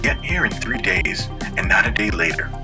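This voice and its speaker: A man's voice: on the low side, big pitch swings, normal speed. A man voicing a wry, teasing military supplier talking by radio in an audiobook. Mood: wry, grudging. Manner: dry, teasing, clipped. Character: wry, sardonic male military quartermaster friend on radio. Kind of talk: dramatic audiobook dialogue. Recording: loud music behind the voice.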